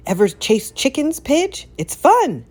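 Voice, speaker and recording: A woman voicing a carefree, excitable male stray dog with breezy, slangy speech in a storybook reading. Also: high-pitched, slow pace, voice pushed up, heavily acted. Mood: excited.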